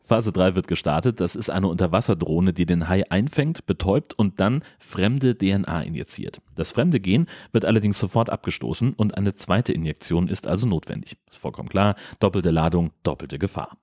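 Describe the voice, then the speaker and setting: A man's voice: sits low, animated, normal speed, hardly any pauses, a little mellow, dry recording, studio quality. A man narrating a scripted film recap with a wry, tongue-in-cheek touch.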